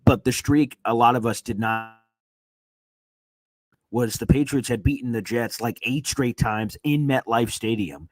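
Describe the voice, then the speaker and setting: A man whose voice is nearly gone from screaming hosts a live sports reaction stream from a hotel room. He talks in an excited, rambling way, full of "uh"s and restarts. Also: medium pitch, a little slow, poor audio. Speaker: sports reporter and livestream host. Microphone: streaming setup on weak hotel wifi.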